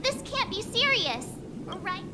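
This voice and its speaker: A woman's voice: very high-pitched, big pitch swings, slow pace, very noisy, exaggerated voice. A woman playing a small, childlike, worried companion in a video game, speaking in an anxious, earnest way. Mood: worried, puzzled. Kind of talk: dramatic video game voice acting. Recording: music under the voice.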